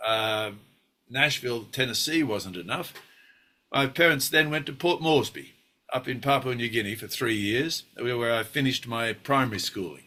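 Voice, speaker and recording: Bright-voiced older Australian man. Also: medium pitch, normal speed. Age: 60s. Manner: wry, self-deprecating, with many fillers and restarts. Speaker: actor guest. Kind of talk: relaxed interview reminiscing.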